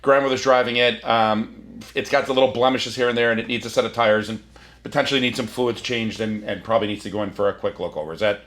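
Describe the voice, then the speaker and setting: Middle-aged man, car buyer, rapid and upbeat on a sales call. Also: on the low side, steady tone, fairly bright tone. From Chicago area, USA. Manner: brisk, upbeat salesman talk. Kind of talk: phone negotiation.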